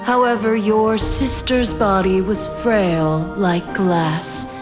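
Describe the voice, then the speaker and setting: A woman voicing a haughty, cold ancient female spirit who judges heirs in a game, measured and condescending, with a long reverb. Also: medium pitch, steady tone, lightly acted. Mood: solemn, cold. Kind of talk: dramatic game voice acting. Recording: long cavernous reverb, music behind.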